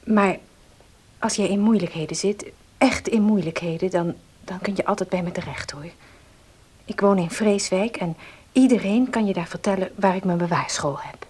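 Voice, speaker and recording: A woman playing a caring nursery teacher in a radio drama, at an ordinary middle pitch. She sounds kindly, and there is faint noise in the recording. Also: normal speed, darkish tone, lightly acted. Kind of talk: Dutch radio play acting. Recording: noisy old radio play recording.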